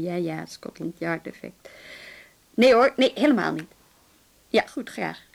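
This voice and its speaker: Creaky-voiced, brisk police inspector woman. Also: lowish voice, animated, full character voice. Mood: wry, chatty. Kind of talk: radio drama acting.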